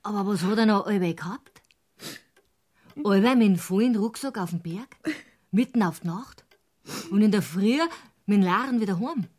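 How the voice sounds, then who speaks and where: A woman's voice: mid-range voice, moderate pace, long pauses, a little mellow, natural voice. An actress playing a blunt, suspicious village farm woman with a Bavarian accent in a German radio crime drama. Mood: suspicious, probing. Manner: plain-spoken, probing.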